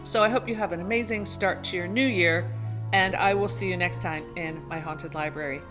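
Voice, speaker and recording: A woman vlogger chatting casually to her viewers in an expressive, exclamatory way, thinking aloud as she goes. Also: fairly low pitch, brisk, over music, low-quality recording. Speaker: host of a horror book channel. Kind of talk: casual chatty unboxing vlog.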